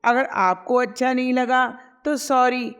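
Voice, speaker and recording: A woman's voice: high voice, steady tone, unhurried, clean tone, voice pushed up. A woman voicing a sheepish young husband defending himself to his mother.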